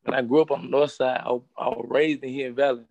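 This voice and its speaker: Fast-talking young man from Charlotte. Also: medium pitch, little pitch movement, darkish tone, no room sound, highs cut off. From Charlotte, North Carolina. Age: 20s. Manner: streetwise slang, constant "you know what I'm saying". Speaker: rapper guest. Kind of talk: casual interview.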